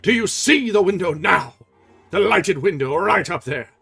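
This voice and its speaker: A man's voice: a little high, big pitch swings, a little slow, over music, voice pushed up. A man doing a furious menacing monster. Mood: gloating. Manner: loud, snarling and menacing. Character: furious menacing male monster, jealous captor.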